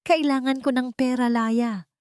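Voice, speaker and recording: A woman's voice: sits high, fairly even intonation, unhurried, darkish tone, smooth, no echo, studio quality, pitched above the performer's own voice. A woman voicing an angry, greedy, drunken husband in a dramatic story, demanding and desperate.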